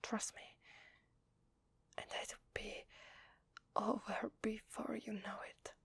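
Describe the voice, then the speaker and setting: A woman with an Italian accent voicing a shy, needy, grateful vampire lady in hesitant, breathy whispered ASMR roleplay, close to the microphone. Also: mid-range voice, level tone, full character voice. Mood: coaxing, soothing. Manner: hesitant, soft, halting. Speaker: ASMR voice actor. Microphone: close microphone, dry.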